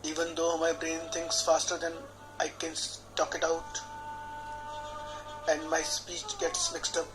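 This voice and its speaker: A man, a healer guiding a tapping session in a slow, measured, soothing way, speaking repeated affirmations phrase by phrase. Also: a little high, completely flat delivery, poor audio. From India. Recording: clear background music under the voice.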